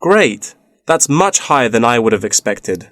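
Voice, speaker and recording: A man's voice: medium pitch, very animated, unhurried, a bit bright, dry recording. A man playing a polite, inquiring university student looking for part-time work in a scripted language-test dialogue.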